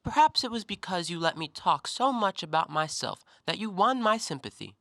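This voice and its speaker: An actor in an audio play, playing a talkative, self-assured intellectual who probes and needles with articulate, deliberate theatrical phrasing. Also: male voice, high voice, expressive, vocal fry, pushed and bright, no room sound, studio quality, heavily acted. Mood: musing, teasing. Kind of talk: stage-style audio play monologue.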